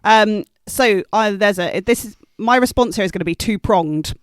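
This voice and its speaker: High, very clear-voiced man discussing film. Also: moderate pace, no echo. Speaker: podcast co-host and film critic. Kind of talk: casual analytical film discussion.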